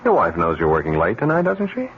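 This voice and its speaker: An actor playing a sly, breezy bachelor adman in a radio drama, smooth-talking, jovial and cocky. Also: deep voice, wildly swooping pitch, fast talker, exaggerated voice. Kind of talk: 1970s radio drama acting. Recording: muffled, faintly noisy old radio recording.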